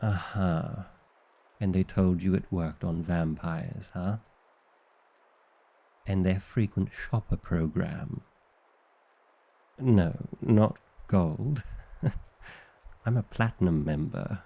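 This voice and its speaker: Man acting an indignant, mellow-voiced vampire librarian. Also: sits low, drawn-out words, gravelly, pauses often, lo-fi sound, heavily acted. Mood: grudgingly chatty. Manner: wry, theatrical, flustered indignation. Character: indignant, bookish male vampire librarian, adorably exasperated. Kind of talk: ASMR roleplay acting.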